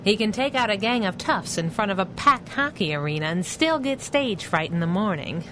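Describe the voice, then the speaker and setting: Sassy, lively wisecracking sidekick. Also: female voice, mid-range voice, moderate pace, slight background noise. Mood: cheeky, teasing. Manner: cheeky, slangy, teasing. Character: sassy, wisecracking young woman, superhero's loyal sidekick. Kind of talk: 1930s radio drama acting.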